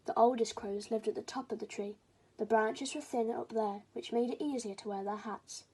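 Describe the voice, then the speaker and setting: A woman's voice: on the high side, fairly even intonation, normal speed, mellow, breathy edge. A woman reading a children's story aloud as scripted storybook narration.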